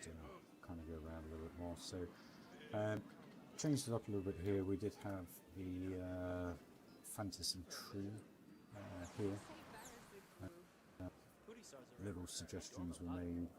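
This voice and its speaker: A man giving a casual, unscripted game walkthrough as a streamer, hesitant and often trailing off. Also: low-pitched. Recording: loud background noise behind voice.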